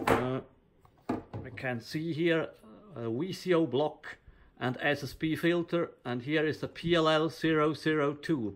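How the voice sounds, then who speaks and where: A man giving an informal hands-on demonstration of radio equipment, in halting, fragmented phrases. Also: mid-range voice. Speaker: presenter of a radio equipment channel. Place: indoors at a table. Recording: noisy, with hiss and radio static.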